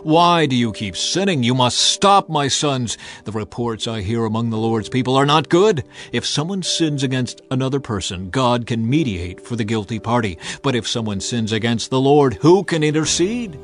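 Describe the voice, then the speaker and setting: A man's voice: medium pitch, animated, normal speed, few pauses, natural voice. A man voicing an aged priest in a dramatized scripture reading, scolding his sons in a stern, pleading way. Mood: stern rebuke.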